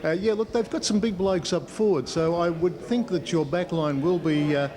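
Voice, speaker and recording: Mature male sports interviewer, Australian, voice slightly high, conversational broadcast questioning. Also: moderate pace, crowd noise.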